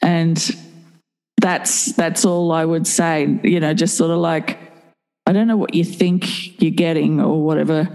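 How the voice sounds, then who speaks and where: A woman's voice: low-pitched, fairly even intonation, measured pace, creaky, mellow, big-room sound, poor audio. A woman in her fifties talking frankly and bluntly in a candid interview, swearing casually. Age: 50s.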